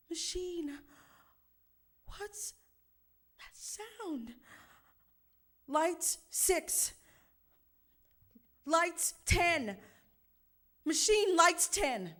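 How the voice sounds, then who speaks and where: An actress playing a frightened, helpless mother lost in darkness in a live radio drama, halting and pleading. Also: extremely high pitch, fairly bright tone, full character voice. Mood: anxious. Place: theater stage before live audience.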